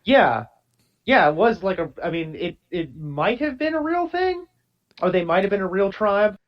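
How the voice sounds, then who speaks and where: A man's voice: high voice, very animated, moderate pace. Man on a muffled lo-fi line. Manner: rambling, frequent restarts and fillers, agreeable. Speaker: podcast co-host. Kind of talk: casual podcast discussion.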